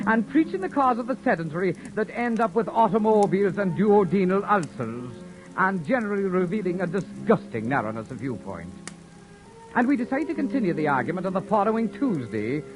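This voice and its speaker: A man acting a proud, sharp-tongued young wanderer who narrates his own tale in a 1940s radio drama, with a wry, sardonic telling. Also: high-pitched, poor audio. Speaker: narrator and lead character. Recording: old radio broadcast with faint music.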